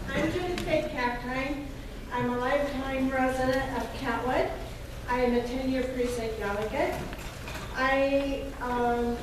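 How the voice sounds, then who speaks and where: A woman giving a short, slightly hesitant campaign speech. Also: a little high. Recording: echoey hall from far off, noisy.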